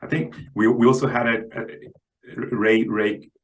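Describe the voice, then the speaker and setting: A man's voice: mid-range voice, fairly even intonation, unhurried, poor audio. A man speaking as a guest analyst in unscripted market commentary, hesitant and often repeating his words.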